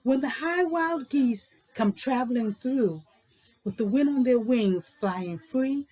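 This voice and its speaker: A woman presenting and reading children's books aloud in an informal, explanatory way with deliberate, emphatic phrasing. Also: on the high side, lively intonation, warm tone, low-quality recording. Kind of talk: informal book talk and storybook read-aloud.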